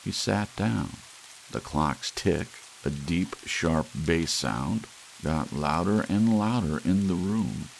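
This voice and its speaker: A man's voice: sits low, slow pace, vocal fry, poor audio. A man reading a short story aloud as an ASMR audiobook narration, as an audiobook reader. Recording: steady hiss, rain sound underneath. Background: soft rain.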